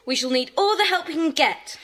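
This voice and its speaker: A woman's voice: sits high, lively intonation, a little fast, a bit bright. A woman playing a keen, helpful schoolchild in a children's audio drama.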